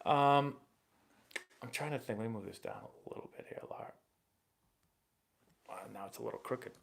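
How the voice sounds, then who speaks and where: A young man hosting a live baseball stream, chatting casually and rambling excitably as he answers viewer questions. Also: mid-range voice, normal speed, vocal fry, a little hiss or hum. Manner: rambling and excitable, many fillers and restarts.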